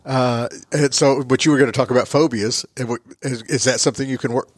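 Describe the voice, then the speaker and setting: A man hosting a radio business interview, rambling with restarts and quick jokes. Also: medium pitch, fairly even intonation, no room sound, clean studio sound. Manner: rambling, joking, many restarts. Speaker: radio show host.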